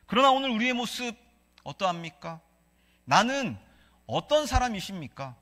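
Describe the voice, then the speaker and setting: A man with a Gyeongsang accent preaches from the pulpit, his high voice bright and forceful. He puts questions to the congregation and leads them in reading scripture together. His words ring out through a church hall with a long, lingering reverb. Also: big pitch swings, normal speed. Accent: Gyeongsang Korean. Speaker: pastor. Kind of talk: sermon. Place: church sanctuary.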